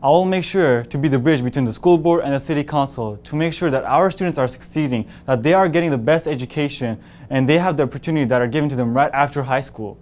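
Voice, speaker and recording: A man running for city council, making an earnest, determined plea for votes. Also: mid-range voice. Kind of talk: campaign statement to camera.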